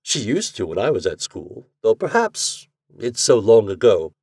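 A man voicing a cool, guarded gentleman secretary in an audiobook story, speaking in a measured, reserved way. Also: on the low side, huge pitch swings, breathy edge, no echo, studio quality, heavily acted. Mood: measured, skeptical.

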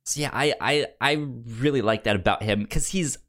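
A man chatting casually as a podcast host, halting and often repeating the starts of his words. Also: mid-range voice, a bit bright. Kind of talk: casual chat about comics.

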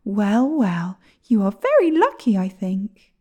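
A woman's voice: wildly swooping pitch, slow pace, dark tone, muffled recording, exaggerated voice. A woman voicing a small, polite mother sparrow in a storybook reading. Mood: fretful. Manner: high, fretful and polite.

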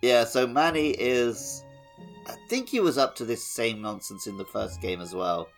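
A man co-hosting a casual gaming podcast, relaxed and chatty, with wry asides. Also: mid-range voice, moderate pace, soft background music. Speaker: podcast co-host. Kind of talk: casual game-talk podcast.

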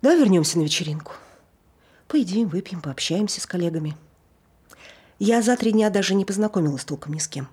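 An actress playing a tipsy, nervous married woman on stage, talking in an awkward, self-justifying way with a husky voice. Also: fairly low pitch, lively intonation, brisk, pauses often, warm tone. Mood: awkward chatter. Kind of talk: naturalistic stage acting, monologue.

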